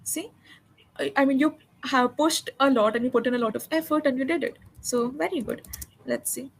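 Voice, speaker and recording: High-voiced encouraging woman tutor. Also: normal speed, slight background noise. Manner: encouraging, patient, explains grammar step by step. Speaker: English tutor. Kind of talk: one-on-one spoken English lesson.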